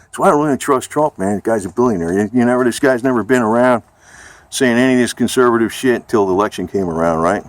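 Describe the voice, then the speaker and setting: A man's voice: mid-range voice, fast talker. An American man chatting casually in a rambling homemade vlog, informal and salty in a matter-of-fact way. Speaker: amateur video blogger. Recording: noisy amateur recording, vehicle ambience.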